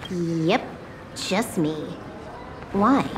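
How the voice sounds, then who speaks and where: A woman's voice: on the low side, very slow, noisy background. A woman voicing a petulant, cocky young hacker in a video game, talking in a casual, flippant way. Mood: flippant, confident. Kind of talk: scripted game dialogue.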